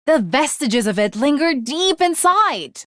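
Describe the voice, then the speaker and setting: Earnest, high-pitched anime swordswoman girl. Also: very animated, unhurried, fairly bright tone, no echo, studio quality, heavily acted. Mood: playful, insistent. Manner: formal, archaic, polite diction with dramatic flourishes. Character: earnest, lovestruck, naive teenage girl swordswoman. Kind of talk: anime video game voice acting.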